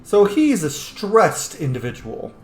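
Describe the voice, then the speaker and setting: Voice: mid-range voice, huge pitch swings, slow pace. A gamer giving casual, playful commentary on a fantasy roleplay playthrough, reacting to the characters. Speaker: gamer, channel host. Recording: roomy echo, faint game music. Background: faint music.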